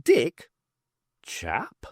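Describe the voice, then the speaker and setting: A man's voice: extremely slow, a little mellow, no echo, clean studio sound. A man voicing a wry, sceptical and bemused woman adventurer in an audiobook, with a questioning manner. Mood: incredulous.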